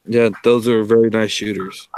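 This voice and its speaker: A man chatting casually as a livestream guest, with a halting, self-deprecating way of talking. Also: lowish voice, level tone, a little mellow, slight background noise. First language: English. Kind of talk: casual chat.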